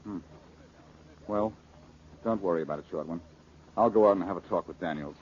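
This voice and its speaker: An actor playing a cool, wisecracking amateur detective, blunt and self-assured, in 1940s radio style. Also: male voice, deep voice, fairly quick, a little mellow, close to an everyday voice. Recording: muffled, noisy 1940s radio recording.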